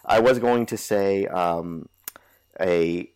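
Nasal, stuffed-up male podcast host, low voice, rambly and casual. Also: slow pace, a little mellow, clear, resonant tone. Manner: rambling, many fillers and restarts. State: stuffy from a cold. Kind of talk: casual chat.

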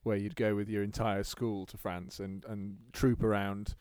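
A man's voice: lowish voice, flat delivery, moderate pace, darkish tone, clear, resonant tone, dry recording, clean studio sound. A man in his thirties from England, co-hosting a podcast and telling stories in a chatty way with frequent laughter. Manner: chatty storyteller, laughs often. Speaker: podcast co-host. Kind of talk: casual chat.

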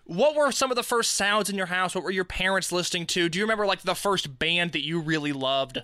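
A man's voice: sits high, brisk, sharp tone, clear, resonant tone, no room sound, studio quality. A young man hosting a casual interview, joking and rambling before asking curious questions. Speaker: podcast host.

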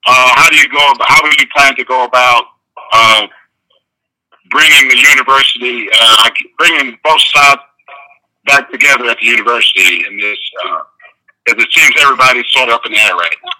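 A male TV reporter puts a question on a press call. His mid-pitched voice is very bright and forceful, and he feels his way haltingly through the wording. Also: poor audio. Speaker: television reporter.

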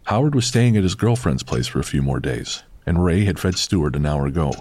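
A man's voice: sits low, lively intonation, a little fast, a little mellow, no room sound. A man narrating a scripted horror audio drama as storyteller.

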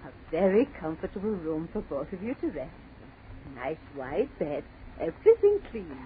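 Swooping, coaxing old aunt, old radio. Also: female voice, fairly low pitch, very animated, moderate pace, crowd noise. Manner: repetitive, soothing, insistent. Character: elderly aunt, coaxing yet firm and secretive. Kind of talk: 1940s radio horror acting. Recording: noisy, tinny 1940s radio broadcast.

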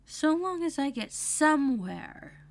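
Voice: sits high, sing-song, slow pace, creaky, a little hiss or hum, voice pushed up, full character voice. A narrator voicing an earnest, prim and inquisitive young girl in a storybook reading. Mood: polite, inquiring.

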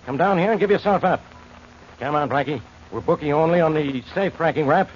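A man acts out a stubborn, gruff police inspector in a vintage radio detective show. The voice is somewhat high and impatient with orders. The recording is dull, with some background noise. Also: brisk, natural voice. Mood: stern, impatient. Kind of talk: 1940s radio detective drama acting. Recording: muffled, noisy old radio recording.

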